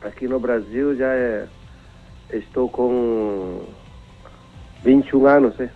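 A man. He talks slowly and haltingly, his voice gravelly with fry. He comes through a narrow, tinny phone line. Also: medium pitch, faint music behind. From Timor-Leste. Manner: halting, searching for words, chuckling. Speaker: priest and phone-in guest. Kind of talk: phone interview.

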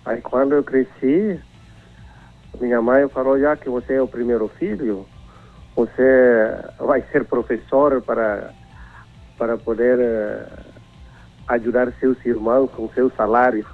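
A man. He talks slowly and haltingly, his voice gravelly with fry. He comes through a narrow, tinny phone line. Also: mid-range voice, steady tone. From Timor-Leste. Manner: halting, searching for words, chuckling. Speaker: priest and phone-in guest. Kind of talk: phone interview.

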